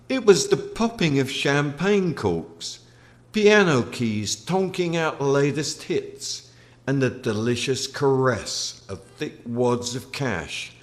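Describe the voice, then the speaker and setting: A man reading literary fiction aloud as its author, with theatrical, deliberate phrasing. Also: medium pitch. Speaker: author reading from own novel. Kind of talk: literary audiobook-style narration.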